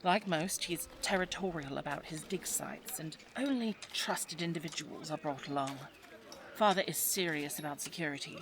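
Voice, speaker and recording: Woman acting as posh young english adventuress from the 20s, lowish voice, playful n chatty, audio drama style. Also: moderate pace, fairly bright tone, a little hiss or hum. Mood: confiding. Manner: playful, chatty, well-spoken. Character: spirited, teasing young 1920s English lady on archaeological expedition. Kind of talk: audio roleplay drama, addressed to the listener.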